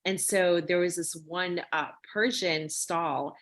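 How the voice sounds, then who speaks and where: A woman's voice: fairly low pitch, fairly even intonation, slow, fairly bright tone. A woman hosting a casual interview, working her way tentatively and in a roundabout way toward each question. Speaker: interviewer, host.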